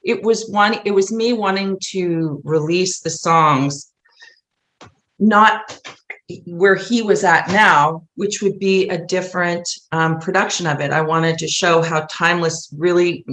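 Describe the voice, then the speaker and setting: A woman's voice: fairly low pitch, a little slow, fairly bright tone. A middle-aged woman explaining things earnestly in a casual interview, wandering from one thought to the next. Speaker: theater writer and producer, interview guest.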